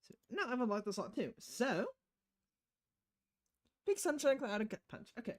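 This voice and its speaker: A woman's voice: a little high, very animated, moderate pace. A woman streaming a game, casually talking through her playthrough.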